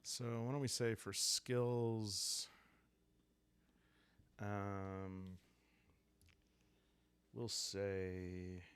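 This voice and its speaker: A man with a low voice talks at an extremely slow pace, as if there is all the time in the world. He calmly makes up a solo roleplaying tale meant for falling asleep to, thinking aloud in short, halting phrases. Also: clean tone, no room sound. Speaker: host and solo player of a journaling roleplaying game. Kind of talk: calm, soothing improvised solo roleplay narration for sleep listening.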